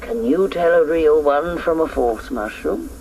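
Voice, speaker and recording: An actor playing a wise, cryptic old woman in a radio drama, musing and measured. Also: medium pitch, animated, darkish tone, slight background noise, rough recording. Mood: patient, teaching. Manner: measured, aphoristic, musing hums.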